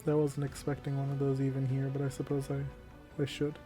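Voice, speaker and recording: A man's voice: medium pitch, completely flat delivery, a little fast, warm tone, faint music behind. A man giving casual let's play commentary, musing to himself as he works through a game. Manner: thinks aloud, musing to himself. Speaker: gamer playing through a story quest.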